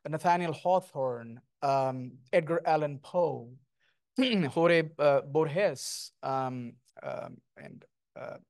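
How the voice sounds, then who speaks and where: Middle-aged male author, reflective and poetic, slightly high voice, handheld mic, dry. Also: very slow, a little mellow, no echo. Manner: thoughtful, lyrical, with frequent ums. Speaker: guest author. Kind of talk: onstage author conversation. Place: small stage before an audience, indoors.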